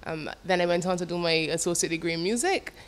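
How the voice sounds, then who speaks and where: A woman with a Barbadian accent answers a talk show host's questions. She speaks in a lowish voice and keeps a measured pace, with the odd "um". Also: smooth. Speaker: calypsonian guest. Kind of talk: interview answers.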